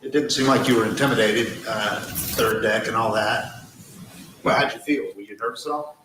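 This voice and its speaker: A man as a reporter asking casual, loosely phrased questions after a game. The room is echoey, and background noise is clearly heard. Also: fairly low pitch, moderate pace. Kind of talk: postgame interview questions.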